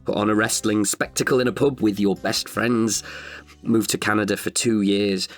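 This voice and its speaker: A man's voice: fairly low pitch, steady tone, normal speed, soft background music, close to an everyday voice. A man in his thirties performing an intimate, chatty monologue as an older, wiser self tenderly addressing his past self. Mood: wry, fond. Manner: chatty, wry, heartfelt. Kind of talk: intimate confessional audio monologue.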